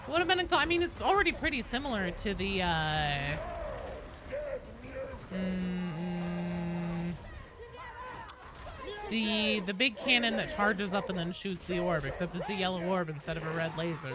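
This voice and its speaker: A woman streaming a game and chatting casually with viewers in a rambling, sweary, animated way. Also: lowish voice, normal speed. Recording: clearly noisy background.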